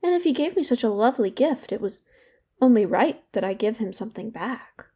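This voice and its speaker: An actress playing a young woman in therapy in an audio drama, bitter and regretful, confiding in a halting, sardonic, conversational way. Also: on the high side, lively intonation, mellow, breathy edge. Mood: sardonic recounting. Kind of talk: naturalistic audio drama monologue.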